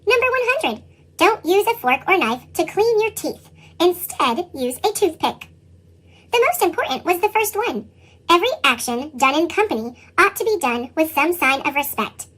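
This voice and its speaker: Woman doing a squeaky chipmunk-voiced storybook boy. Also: normal speed, heavily acted. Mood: matter-of-fact. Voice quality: squeaky, sped-up chipmunk sound. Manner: animated children's read-aloud delivery. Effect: pitched-up chipmunk voice effect. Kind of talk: scripted picture-book narration.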